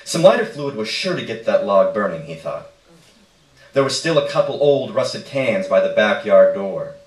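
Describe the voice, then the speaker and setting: Male live story reader, lower register, roomy distant sound. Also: very animated, normal speed. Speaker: reader of a short story on stage. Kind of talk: live literary reading, narration. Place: indoor stage reading in a roomy space. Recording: echoey room, distant microphone.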